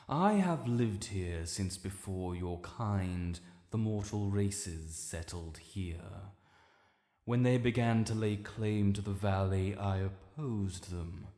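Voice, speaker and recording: In a long, cavernous reverb, an ancient dragon lord played by a man speaks slowly with a British accent. The voice is low and stately, proud and honorable, with the formal bearing of a stern guardian in a fantasy audio drama. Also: mellow. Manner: formal, proud, measured and imperious. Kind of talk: fantasy audio roleplay addressed to the listener.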